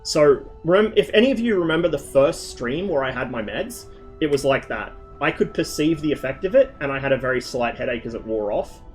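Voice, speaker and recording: Guy on a stream just rambling to chat, swears a ton, slight trouble with his l's, mid-pitched, soft music going behind. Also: lively intonation, fairly quick. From Australia. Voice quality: mild speech impediment on L sounds. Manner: rambling, swears a lot, jumps between tangents. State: lingering cough.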